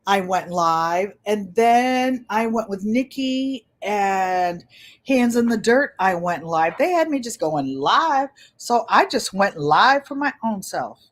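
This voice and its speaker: A woman in her seventies hosting a casual livestream, chatting in a gentle, pleasant voice and rambling as she often repeats her phrases. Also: medium pitch, expressive, slow pace. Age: 70s. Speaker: channel host.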